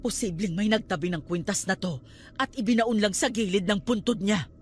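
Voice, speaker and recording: A woman's voice: medium pitch, brisk, a little hiss or hum, close to an everyday voice. An actress playing a stern, superstitious Filipino aunt who scolds her nephew emphatically in a radio drama. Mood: grave, urgent.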